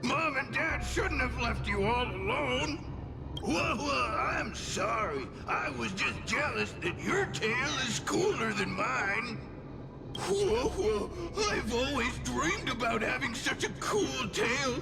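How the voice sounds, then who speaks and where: A spirit puppeteering people in a game. The voice is high and swoops theatrically, gushing with drawn-out, phony sweetness. Loud music sits behind it. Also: male voice, big pitch swings, gravelly, heavily acted. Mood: gushing, fake remorse. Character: spirit mimicking repentant, gushing children and parents, mockingly sweet. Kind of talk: video game cutscene acting.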